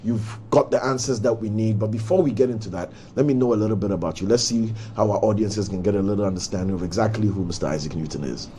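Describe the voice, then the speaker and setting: A man's voice: fairly low pitch, fast talker, hardly any pauses, warm tone, slight background noise. A young man with a Ghanaian accent hosting a talk show, teasing his guest in playful banter. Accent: Ghanaian English.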